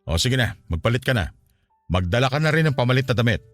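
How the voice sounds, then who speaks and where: A man's voice: medium pitch, very animated, quick pace, fairly bright tone, no room sound, soft background music. A man acting a suspicious, controlling well-off Filipino boyfriend in a radio drama, brusque and domineering. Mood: bossy, assured.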